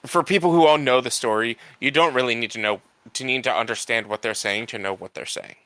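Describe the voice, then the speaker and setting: Male podcast co-host, bright and clear voice, casual conversational delivery. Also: mid-range voice, a little fast, dry recording. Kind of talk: casual film discussion chat.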